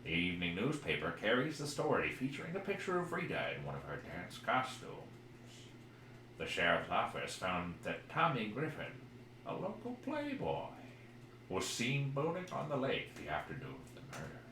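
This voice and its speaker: A man's voice: on the low side, wildly swooping pitch, moderate pace, sharp tone. A man co-hosting a podcast, chatting casually and reading a mystery game aloud. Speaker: podcast co-host. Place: echoey room, live streamed podcast. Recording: roomy echo with background noise.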